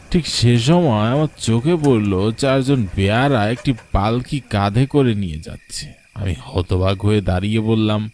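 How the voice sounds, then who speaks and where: A man's voice: mid-range voice, a little mellow, a little hiss or hum, natural voice. A man playing a weary, frightened village rickshaw puller, telling a cartoon ghost story in an anxious, suspenseful, wondering way. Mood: puzzled wonder. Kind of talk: dramatic first-person horror story narration for a cartoon.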